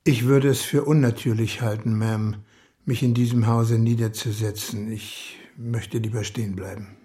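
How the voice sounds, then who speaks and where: An actor playing a humble, dignified working-class uncle in a radio drama, speaking in a grave, earnest, deliberate way. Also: male voice, lowish voice, steady tone, warm tone, lightly acted. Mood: respectful, firm.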